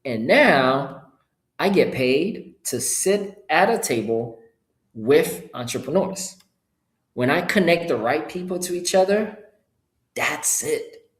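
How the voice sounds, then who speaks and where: A middle-aged man from Haiti, a Creole speaker, gives an informal, upbeat motivational webinar pitch in short punchy phrases. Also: animated, takes it slowly. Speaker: entrepreneur hosting an online presentation. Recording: roomy, echoing sound.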